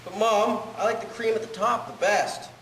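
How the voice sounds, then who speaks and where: A man's voice: high voice, moderate pace, a bit bright. Echoey teenage schoolboy, acted by a man. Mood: wheedling, grumbling. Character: 15-year-old schoolboy, cheeky and reluctant, 1920s. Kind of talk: scripted audio drama acting. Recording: echoey hall reverb with faint noise.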